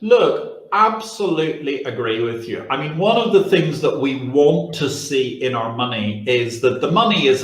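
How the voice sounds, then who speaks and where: A man speaks as an expert guest in an interview, at a middling pitch. He explains in a conversational but emphatic style, with rhetorical turns. The sound is roomy and echoey.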